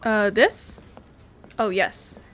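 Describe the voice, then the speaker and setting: A woman's voice: mid-range voice, very slow, fairly bright tone, faint music behind. A woman hosting a gaming video, talking and reading along casually over a playthrough. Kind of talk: casual game playthrough commentary.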